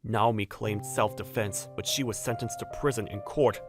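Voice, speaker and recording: A man's voice: fairly low pitch, fairly even intonation, normal speed, close to an everyday voice. An actor playing a devoted, sincere middle-aged single father in a manga dub, narrating and speaking in character. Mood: somber, explanatory. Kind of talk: scripted anime-style dub narration and dialogue. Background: music.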